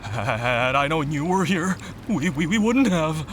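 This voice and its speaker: A man playing a swaggering, menacing bandit boss in a video game, with a gravelly voice. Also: on the high side, very animated, measured pace, background noise. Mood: cowed.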